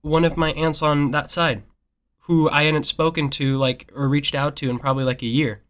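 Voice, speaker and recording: A man's voice: on the high side, flat delivery, normal speed, fairly bright tone. A young man talking earnestly with a relative in a casual call, rambling with many fillers. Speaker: nephew. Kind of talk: casual phone chat.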